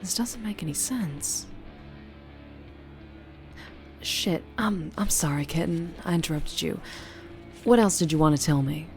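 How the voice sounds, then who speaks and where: Low-voiced Southern vampire woman, played by an actress. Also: moderate pace. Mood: puzzled, probing, then attentive, businesslike. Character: flirtatious female vampire, troubled, guarded, protective. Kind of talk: ASMR roleplay acting. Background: music.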